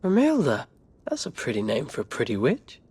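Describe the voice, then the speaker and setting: A man playing a dopey, grumbling, awkward teenage schoolboy with an English accent and a husky voice in a video game. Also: medium pitch, huge pitch swings, normal speed, a little mellow, big-room sound, full character voice. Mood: lovesick, dreamy. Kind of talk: video game cutscene voice acting.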